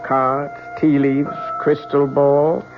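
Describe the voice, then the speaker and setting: A man playing a sly, mercenary older woman who tells fortunes, theatrical and measured, in 1970s radio drama style. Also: on the high side, level tone. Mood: guarded. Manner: theatrical, measured, businesslike haggling. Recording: dull old radio recording with music.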